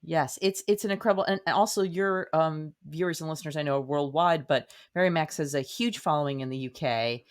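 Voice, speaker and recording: A woman's voice: low-pitched, a little fast, hardly any pauses. Effusive woman as an interview guest. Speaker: guest, playwright. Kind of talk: conversational interview.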